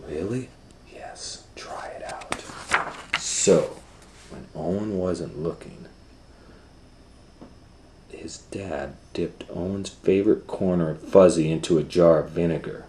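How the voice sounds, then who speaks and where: Storytime reader, middle-aged man, deep and unhurried, whispers then booms, roomy sound. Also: background noise. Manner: animated, swings from hushed whispers to loud excited voices. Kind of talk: reading a picture book aloud.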